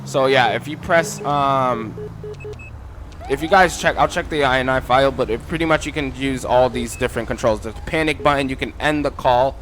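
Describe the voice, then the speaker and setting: A man's voice: mid-range voice, moderate pace, a bit bright, slight background noise. A man casually walking viewers through game mods in an informal, instructive way, addressing them as guys. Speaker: gaming tutorial creator.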